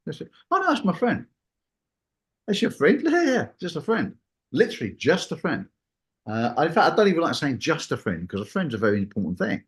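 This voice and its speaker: A man talks casually in a discussion, his pitch swinging widely as he goes. He rambles through anecdotes and slips into reported dialogue. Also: wildly swooping pitch, fairly quick.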